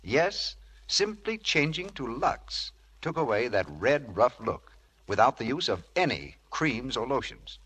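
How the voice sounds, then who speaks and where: A man announcing a 1940s radio commercial in an emphatic, salesmanlike way with a husky voice. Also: medium pitch, big pitch swings, unhurried, fairly bright tone. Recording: old radio broadcast recording.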